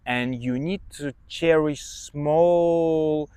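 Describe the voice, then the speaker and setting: A man's voice: fairly high pitch, drawn-out words, mellow, clean tone, no echo, clean studio sound. A man with a Russian accent, a native Russian speaker, hosting a podcast and chatting through study tips in an emphatic, conversational way. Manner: chatty, emphatic, checks in with "yeah?". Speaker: podcast host, English teacher. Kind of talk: casual advice monologue.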